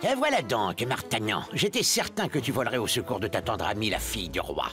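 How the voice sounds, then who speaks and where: A man's voice: lowish voice, very animated, a little fast, over music. A man playing a scheming, power-hungry cardinal in a cartoon, theatrical, mocking and pompous. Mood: smug. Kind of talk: French animated series acting.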